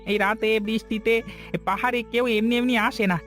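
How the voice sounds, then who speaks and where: A man's voice: high-pitched, fairly even intonation, normal speed, fairly bright tone, soft background music. A man playing a kind but probing hill villager in a cartoon story, plain-spoken and questioning.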